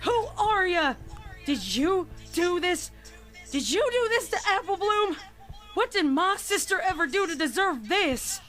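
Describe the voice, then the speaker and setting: A woman plays a young farm pony mare with a high voice and a drawl. She is a folksy, emphatic older sister in a dark storybook reading, with faint music behind her. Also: big pitch swings, a little slow, full character voice. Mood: anguished, demanding. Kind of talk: dramatic fan fiction reading.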